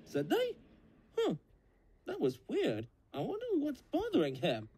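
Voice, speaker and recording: A woman voicing an excitable, childlike male diesel engine sidekick in a fan cartoon dub, gushing and eager. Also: high voice, unhurried, a little hiss or hum, heavily acted. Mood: eager, curious. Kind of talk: fan comic dub voice acting.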